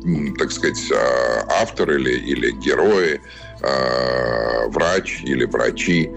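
A man being interviewed as a writer, answering off the cuff in a halting way, searching for words. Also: extremely low pitch, lively intonation, vocal fry, music in the background. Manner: halting, searching for words, frequent hedging phrases.

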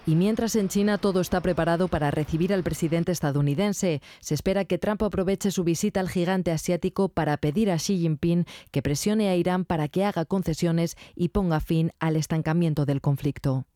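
Higher-voiced, mellow male news reporter. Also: brisk. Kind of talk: scripted news narration.

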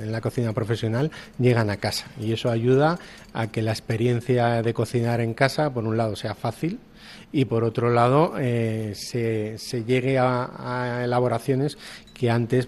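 A man's voice: mid-range voice, a little mellow, a little hiss or hum. A man, a chef answering interview questions and searching a little for his words. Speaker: professional chef, guest.

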